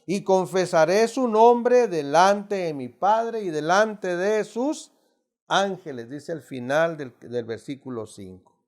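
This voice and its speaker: A man's voice: sits high, takes it slowly, darkish tone. A middle-aged man from Mexico with a Mexican accent, teaching an adult Bible study in an emphatic, preacherly way, constantly addressing the listeners as brothers. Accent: Mexican Spanish.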